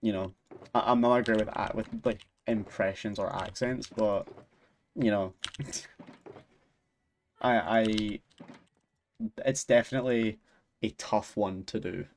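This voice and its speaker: A man's voice: fairly low pitch, fairly even intonation, takes it slowly, pauses often, darkish tone, a little hiss or hum. A man with a Scottish accent, chatting casually on a stream, rambling and laughing often. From Scotland. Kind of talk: casual chat while gaming and reading chat.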